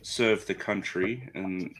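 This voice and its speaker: A man co-hosting a casual chat, speaking in a measured, laconic way. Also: low voice, a bit bright, a little hiss or hum, low-quality recording. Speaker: co-host.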